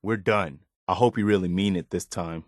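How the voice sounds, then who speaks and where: A man's voice: low-pitched, moderate pace, a little mellow, dry recording, clean studio sound, natural voice. A male actor voicing an earnest, weary, loyal militia soldier in a video game, speaking in a plain-spoken, folksy way. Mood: disappointed, stern. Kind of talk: dramatic video game dialogue.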